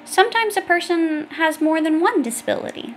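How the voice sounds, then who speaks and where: A woman's voice: very high voice, fairly quick, slight background noise. A woman reading an educational children's book aloud, explaining things directly to young listeners. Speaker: audiobook reader.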